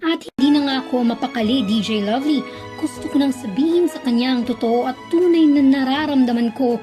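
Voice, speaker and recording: A woman's voice: high-pitched, fairly quick, music in the background, close to an everyday voice. A young woman with a Filipino accent playing a lovesick narrator confessing hidden love in a radio drama. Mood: anxious, resolved.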